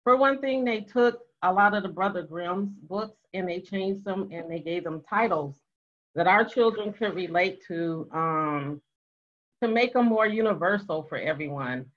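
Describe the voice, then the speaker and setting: A woman's voice: lowish voice, a little slow, fairly bright tone. An older woman co-hosting, explaining things to a group in a casual, conversational way with emphatic repetition. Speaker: co-host. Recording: dull, lo-fi online call audio.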